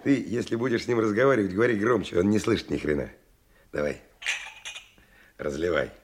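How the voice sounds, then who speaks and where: An actor in a radio drama playing a rough, hospitable son, speaking in a coarse, colloquial, coaxing way with a husky voice. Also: male voice, fairly low pitch, brisk, a little hiss or hum, natural voice. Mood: gruff, hushed. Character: rough, hospitable man coaxing his silent old father to drink.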